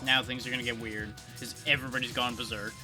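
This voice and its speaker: A man's voice: medium pitch, flat delivery, fast talker. A man giving casual, wry gameplay commentary as a streamer, in an offhand way. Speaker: game streamer. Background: game music.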